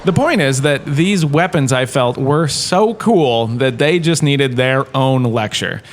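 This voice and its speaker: A man's voice: medium pitch, expressive, a little slow, lightly acted. A man playing a vain, washed-up drama star lecturing as a chatty, self-satisfied professor in a comic audio drama. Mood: smug, chatty.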